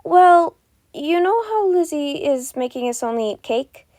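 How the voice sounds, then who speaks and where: A woman's voice: high-pitched, lively intonation, a little slow, a little mellow, no echo, clean studio sound, pitched above the performer's own voice. A woman voicing a friendly, earnest and slightly awkward young female wizard in a fan fiction audio reading. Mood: earnest complaint. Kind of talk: amateur podfic character reading.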